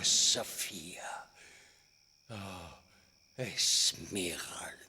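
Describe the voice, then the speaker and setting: A tormented, cruel archdeacon of an animated film, bright and forceful in long church-like reverb. He preaches with dramatic fervour, his pitch swooping widely. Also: creaky, heavily acted. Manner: sententious, fervent, dramatic. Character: obsessed, pious, cruel male archdeacon. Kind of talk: theatrical animated film dub. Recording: long hall reverb, music underneath.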